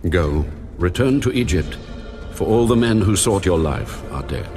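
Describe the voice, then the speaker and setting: An actor voicing God as a grave, commanding deity in a dramatized audio Bible, speaking with deliberate authority. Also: male voice, low voice, lively intonation. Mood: solemn, commanding. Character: God, commanding, solemn, all-powerful male deity. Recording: dramatic music under the voice.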